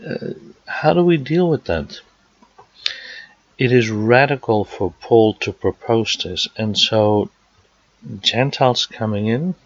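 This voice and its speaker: A man from the Netherlands with a Dutch accent, preaching a sermon and working through scripture verse by verse, pausing with fillers as he explains. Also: mid-range voice, expressive, dry recording. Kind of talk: sermon teaching. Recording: dry, dull lo-fi sound.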